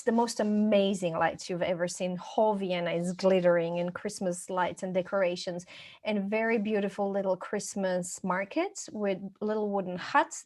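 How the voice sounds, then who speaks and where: A woman's voice: mid-range voice, darkish tone. Middle-aged woman. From Croatia. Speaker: podcast guest. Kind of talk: casual conversational storytelling.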